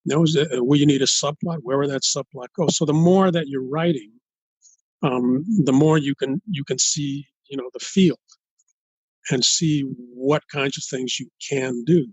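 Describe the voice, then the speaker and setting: An older man from Los Angeles in an interview as a guest author, speaking in a halting, thoughtful way and building his points step by step. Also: mid-range voice, steady tone, a little mellow, breathy edge, no room sound, low-quality recording. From United States, Los Angeles. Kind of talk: interview conversation.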